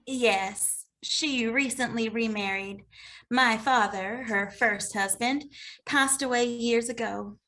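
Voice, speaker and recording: A woman reading an earnest, grieving woman client in an animated comedy mystery table read. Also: a little high, slow pace, rough recording.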